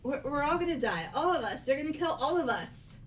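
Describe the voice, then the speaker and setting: A woman voicing a frightened, excitable teenage girl in an amateur game dub, breathless and stammering. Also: high-pitched, a little fast. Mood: panicked. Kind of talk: amateur voiced playthrough of a mystery game.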